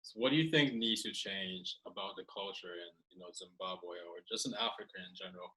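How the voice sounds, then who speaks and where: A man hosts a casual interview with a very deep voice that still sounds bright and forward. He talks fast, in an easygoing way that backs up his guest. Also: low-quality recording. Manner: easygoing, affirming, quick. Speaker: podcast interview host.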